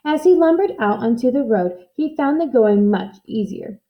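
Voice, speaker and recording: High-voiced woman reading a storybook. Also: lively intonation, normal speed, highs cut off. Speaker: storybook reader. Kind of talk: expressive children's read-aloud narration.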